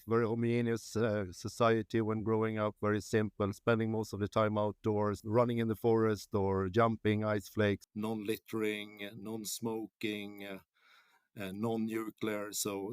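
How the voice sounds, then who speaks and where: A man from Sweden, answering interview questions in a halting, plainspoken way with many fillers. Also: on the low side, clean tone, dry recording, studio quality. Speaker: company CEO, interview guest. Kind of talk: conversational interview.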